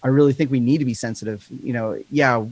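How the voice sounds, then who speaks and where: A man with a higher-pitched voice and a slight lisp, talking candidly and rambling in a casual podcast chat, swearing freely. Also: quick pace.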